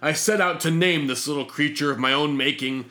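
A man's voice: fairly high pitch, a little fast, sharp tone. A man narrating a comic sci-fi story in a pompous, self-important, theatrical manner. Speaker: narrator reading a fictional diary.

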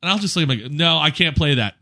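A man in casual conversation with a bright, punchy voice that sits a little high. He tells anecdotes like an event DJ among friends. His delivery is animated and he acts out both sides of exchanges. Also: fast talker, vocal fry.

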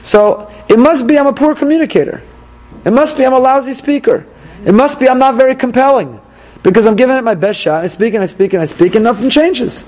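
Moses as a dejected, exasperated leader, played by a man, voice raised high as he complains that his speech fails him. He sounds self-pitying. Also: fairly quick, a little mellow. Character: Moses, a dejected older leader doubting himself.

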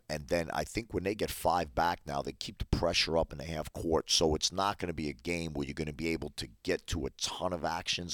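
Very deep-voiced male basketball coach. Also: fairly bright tone, no room sound, studio quality. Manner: methodical, explaining game plans point by point. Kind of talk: podcast interview.

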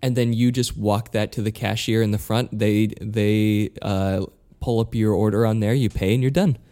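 A man's voice: lowish voice, fairly even intonation, normal speed, warm tone. A man talking casually as a podcast co-host, telling anecdotes in an emphatic, informal way with some swearing.

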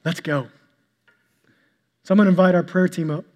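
A male pastor preaching a sermon to his congregation, with an even delivery he describes as monotonous. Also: high voice. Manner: calls himself monotonous and boring-voiced; preaching, shifts to a soft, slow prayer. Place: church sanctuary. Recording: long hall reverb with soft instrumental music.

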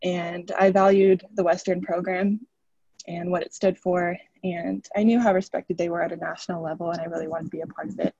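A woman's voice: fairly low pitch, little pitch movement, fairly quick, dark tone, husky, dry recording, dull audio. A woman in her twenties from Oregon, a student athlete chatting upbeat as a podcast guest, laughing often. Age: 20s. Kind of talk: podcast interview.

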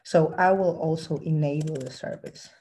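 A woman's voice: deep voice, moderate pace, dark tone, slight background noise, low-quality recording. A woman with a cold giving a technical presentation, explaining a live demo with frequent ums and restarts. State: has a bad cold. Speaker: presenter giving a tech talk.

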